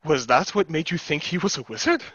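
Man playing sceptical young brother, blunt, lively pitch, stage reading, dry dull headset audio. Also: brisk, breathy edge, no room sound. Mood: suspicious. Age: young adult. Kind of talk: stage play reading over video call.

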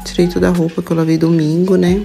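A woman's voice: on the low side, level tone, fast, creaky, warm tone, soft background music. A Brazilian woman in her forties narrating a casual vlog in a chatty, confiding way. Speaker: vlogger.

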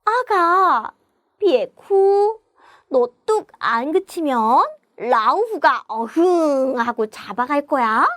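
A woman's voice: sits high, lively intonation, extremely slow, a bit bright, dry recording, clean studio sound, heavily acted. A woman voicing a loving mother who coaxes and warns her baby in a children's story. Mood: coaxing, warning.

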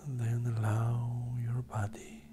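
A meditation guide speaking softly and breathily, often close to a whisper, in a gentle, unhurried manner with long pauses. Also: mid-range voice, flat delivery, noisy background. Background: ambient meditative music.

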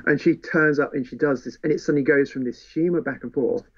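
A man's voice: on the high side, fast talker. A man, co-hosting a podcast in a casual book discussion. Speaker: podcast co-host. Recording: dull, lo-fi sound.

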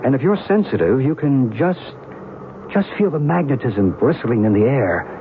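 A man's voice: mid-range voice, expressive, moderate pace, lightly acted. An actor playing a knowing, courteous and mysterious American man in a 1970s radio drama. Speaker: actor and narrator. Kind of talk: 1970s radio drama acting and first-person narration. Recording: narrow-band, noisy old radio broadcast.

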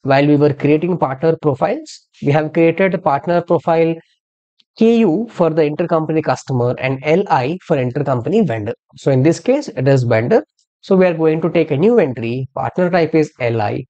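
A software instructor narrating a step-by-step tutorial in an explanatory way, posing questions and then answering them. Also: mid-range voice, expressive, brisk, dull audio. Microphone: screen-recording voice-over.

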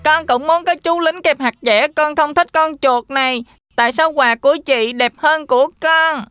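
A woman acts out an impatient, jealous little boy in a cartoon fairy tale. The voice is high, sharp and insistent, with a whiny edge. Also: normal speed, no room sound, soft background music. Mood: jealous, whining.

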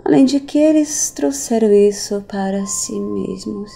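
A woman's voice: mid-range voice, a little slow, vocal fry, mellow, slightly rough, heavily acted. An actress playing an unhinged, nihilistic woman in an audio drama, musing in a theatrical, sardonic way. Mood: mocking, unhinged. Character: unhinged woman recounting her cultish family's cruelty, mocking, nihilistic.

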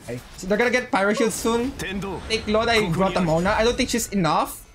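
A game streamer giving casual, excitable live commentary, often repeating short phrases. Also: medium pitch, fairly quick, music in the background. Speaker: livestreamer playing a game.

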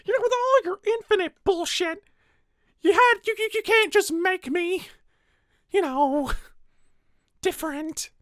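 A woman's voice: extremely high pitch, measured pace, long pauses, no room sound, clean studio sound. A woman voicing a small, bitter, foul-mouthed talking flower, numb and angry, in a fan roleplay drama. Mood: defensive, sullen. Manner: sarcastic, cursing, stammering when cornered.

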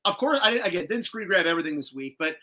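A man with a bright, forceful voice pitched a bit high hosts a casual rewatch chat. He retells scenes in an animated, slangy way and swears now and then. It sounds like a video call. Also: fast. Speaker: host. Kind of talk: casual chat recapping a cartoon episode. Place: indoor room with shelves of collectibles, on a video call.

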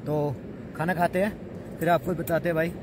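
A man narrates a casual camping vlog in a fairly high voice. He talks informally, as if to friends. Clear river noise runs behind him in the open air. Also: steady tone. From India. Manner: chatty, informal, addresses viewers as friends. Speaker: vlog host. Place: outdoors at a riverside campsite. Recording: noisy outdoor recording, rushing river. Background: loud ambient river noise.

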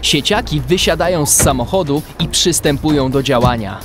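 A man's voice: fairly high pitch, normal speed, over music, close to an everyday voice. A man narrating a children's adventure in a scripted audio drama. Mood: storytelling. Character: storyteller of a kids' adventure.

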